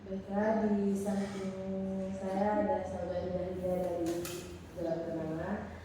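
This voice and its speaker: A woman's voice: medium pitch, level tone, slow, mellow. A young woman playing a student in a counseling group, carefully listing her classmates' names. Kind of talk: role-played group introductions. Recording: echoey room from far off, noisy.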